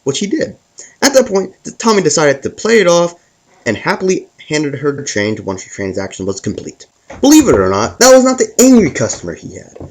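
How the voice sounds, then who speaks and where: A man's voice: on the high side, very animated, brisk. A man hosting a scripted comic story, narrating it with stumbles and repeated words as he reads. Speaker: host and storyteller.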